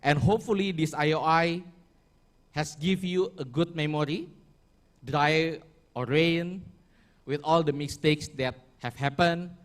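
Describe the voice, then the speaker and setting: A man addresses a ceremony hall with a somewhat high voice. His speech is formal but halting, and he corrects himself as he goes. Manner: halting, with restarts and self-corrections. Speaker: event organizer giving a closing speech.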